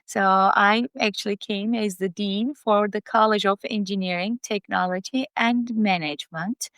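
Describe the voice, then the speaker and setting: Older woman, Turkish accent, smooth voice with some fry, dry close sound, interview guest. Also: mid-range voice, steady tone, normal speed, a bit bright, dry recording. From Turkey. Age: 50s or older. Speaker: guest, college dean. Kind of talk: interview answers.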